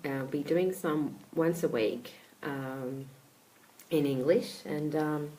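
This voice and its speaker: An Australian woman, a life coach, talking casually to her followers in a live stream, with many pauses and fillers. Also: deep voice, a little hiss or hum, poor audio. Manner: hesitant, many ums and restarts.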